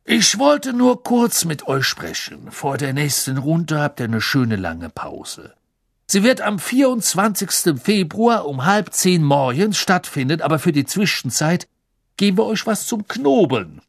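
A jovial, showy sports official from a storybook, played by a man reading aloud. He announces things with emphatic showmanship, his husky voice raised and bouncing in pitch. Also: very animated, measured pace, no echo, studio quality, heavily acted. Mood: jovial, eager. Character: jovial, showy male sports official addressing young champions.

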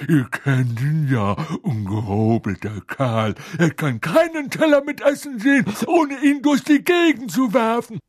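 A man voicing a proud, fussy old male ghost in a slow, self-important manner with a breathy voice. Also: high-pitched, no echo, studio quality, voice pushed up, exaggerated voice. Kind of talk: audiobook character voice.

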